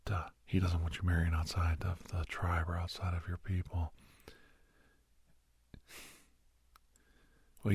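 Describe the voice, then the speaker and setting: A man acts out a kindly older doctor in a gentle ASMR audio drama. His hushed voice is low, crackling with fry and heavy with breath. He rambles softly like a fond storyteller, reassuring his young listener. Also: no room sound, full character voice. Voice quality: husky, breathy, gravelly whisper-talk. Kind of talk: soft whispered ASMR roleplay monologue.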